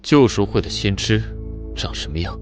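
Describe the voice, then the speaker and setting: A male actor plays a battle-hardened commander in a motion-comic drama. He speaks in a fairly low voice, terse and cool, used to giving orders, with faint music behind. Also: animated, normal speed, close to an everyday voice. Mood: cool, questioning.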